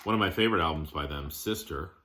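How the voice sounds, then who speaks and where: A man giving a casual show-and-tell of his collection, plainspoken, listing items in short, halting phrases. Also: a bass voice, pushed and bright.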